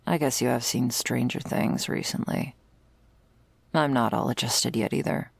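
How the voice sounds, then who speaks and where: A woman playing a jaded, sardonic servant of vampires in an intimate ASMR roleplay, husky and deadpan, close to the microphone. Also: very deep voice, unhurried, dry recording, exaggerated voice. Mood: weary, explaining. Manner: dry, deadpan, sarcastic, foul-mouthed. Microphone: close, dry microphone.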